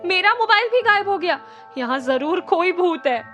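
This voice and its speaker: A woman's voice: sits high, moderate pace, fairly bright tone, faint music behind. A woman voicing a young female office employee who reassures her boss but shrieks when frightened. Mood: scared. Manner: reassuring, then shrieking in fear.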